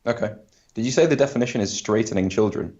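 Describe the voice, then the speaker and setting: A man's voice: on the low side, fast talker, darkish tone, highs cut off. A man co-hosting a casual podcast, asking curious, chatty questions. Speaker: podcast co-host.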